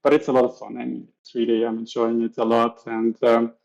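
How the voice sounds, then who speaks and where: Swiss german accent guy, chatty podcast guest, mellow voice, muffled audio. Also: medium pitch, monotone, fairly quick, creaky. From Switzerland. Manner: chatty, acts out voices in stories. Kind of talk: casual podcast interview.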